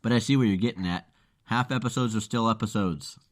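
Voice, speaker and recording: A man's voice: fairly low pitch, brisk. Congested, nasal-sounding man. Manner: blunt, offhand. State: congested from a cold. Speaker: podcast host. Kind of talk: casual chat.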